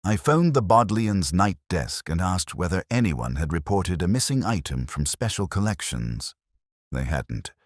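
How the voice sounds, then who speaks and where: A man playing an older, erudite detective, narrating a mystery in a reflective, measured, literary and wistful manner. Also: deep voice, big pitch swings, no room sound, clean studio sound, exaggerated voice. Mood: melancholy, pensive. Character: melancholy, erudite older male English detective recalling a case. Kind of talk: reflective scripted first-person mystery narration.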